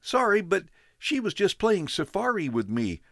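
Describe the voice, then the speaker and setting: A man's voice: sits high, sing-song, moderate pace, gravelly, pushed and bright, dry recording, clean studio sound, pitched above the performer's own voice, full character voice. A man voicing a timid young boy whose voice shakes as he pleads. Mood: anxious. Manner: shaky, pleading.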